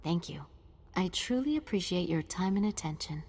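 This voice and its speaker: Low, husky dignified ambassador. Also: female voice, lively intonation, moderate pace, a little mellow. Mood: courteous, earnest. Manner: formal, courteous, diplomatic. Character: gracious, dignified female ambassador of a noble house. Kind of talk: video game cutscene acting. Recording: long hall reverb.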